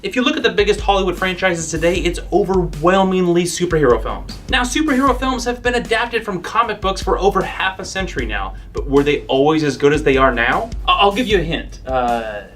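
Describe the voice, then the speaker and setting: High-pitched young American man, emphatic. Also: animated, brisk, few pauses, fairly bright tone. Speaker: web show host. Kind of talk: scripted video essay commentary. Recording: music playing behind the voice.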